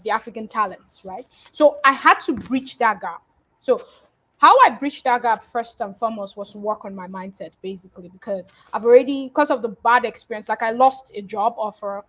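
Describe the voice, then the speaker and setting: A woman from Nigeria speaks in a fairly high voice as an interview guest over a video call. She talks animatedly, pushing some words out loudly and letting others drop quiet, with plenty of ums. The sound comes through a call connection. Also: poor audio. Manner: animated, with big swings in loudness and frequent fillers. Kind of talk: casual interview talk.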